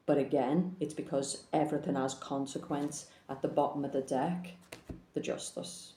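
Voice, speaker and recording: A woman's voice: low-pitched, mellow, roomy sound. A woman giving a casual, blunt tarot reading, speaking frankly and swearing now and then. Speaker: tarot reader.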